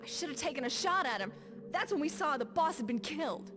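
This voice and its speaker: A woman's voice: high voice, animated, brisk. An actress playing a rattled female guard who speaks in an agitated, emphatic way. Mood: shaken, uneasy. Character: rattled female guard, shaken witness in a video game.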